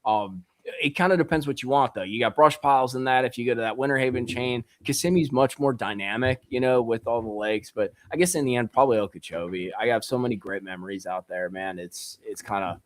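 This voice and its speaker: A man, a guest angler on a podcast, talking in an excitable, loose way, full of bro and dude. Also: medium pitch, fairly quick, no echo. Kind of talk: casual podcast chat.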